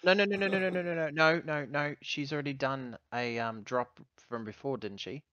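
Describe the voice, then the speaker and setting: A man's voice: fairly high pitch, moderate pace, bright, forceful tone, no room sound, highs cut off. A man chatting casually as a player in a tabletop roleplaying game.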